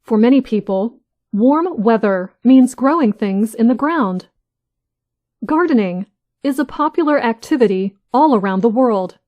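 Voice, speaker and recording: Slow-reading woman with a higher voice. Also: lively intonation, muffled recording. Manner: careful, evenly paced delivery. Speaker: news reader for English learners. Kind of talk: slow, clear scripted reading.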